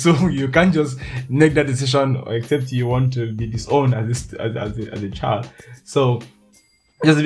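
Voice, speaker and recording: Young nigerian guy hosting his own podcast, chatty, laughs a lot, soft music in the back. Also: medium pitch, slightly rough. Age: young adult. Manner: chatty, laughs often, restarts words. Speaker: podcast host. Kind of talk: solo podcast monologue.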